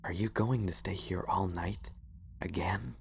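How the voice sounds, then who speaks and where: A man playing a gentle, tired and patient cartoon pony husband, speaking softly and reassuringly. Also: low voice, fairly even intonation, unhurried, gravelly. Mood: quiet, weary.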